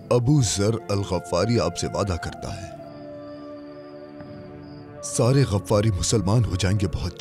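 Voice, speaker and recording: A fearless preacher, lively voice. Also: male voice, high-pitched. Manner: fervent, impassioned declaiming. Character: fearless devout man preaching to hostile crowd. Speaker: dubbed lead character. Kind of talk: dubbed historical drama acting.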